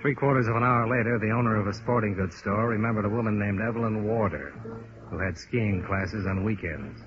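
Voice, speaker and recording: A man's voice: fairly low pitch, brisk, close to an everyday voice. Dogged American radio sleuth male actor, terse and understated, 1950s detective serial, hissy vintage sound. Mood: matter-of-fact narration. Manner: clipped, hard-boiled, understated. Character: dogged, terse male insurance investigator narrating his case. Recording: muffled, noisy vintage radio recording.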